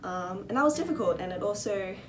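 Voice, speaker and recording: A woman's voice: medium pitch, expressive, a little fast. A twenty-year-old woman giving a personal account in an interview. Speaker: interviewee, musician. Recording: distant mic, music behind. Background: plucked guitar music.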